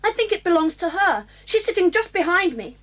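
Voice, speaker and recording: A woman's voice: extremely high pitch, big pitch swings, brisk, a bit bright, heavily acted. An actress with a British accent playing a prim, smug, tale-telling schoolgirl with a prissy manner in an audio drama. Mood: innocently smug. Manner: self-righteous, prissy.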